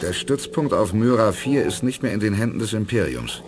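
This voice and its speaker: Resolute space commander played by a man, animated delivery. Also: lowish voice, normal speed, lightly acted. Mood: grave, focused. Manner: decisive, authoritative. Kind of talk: 1980s German science fiction radio play acting.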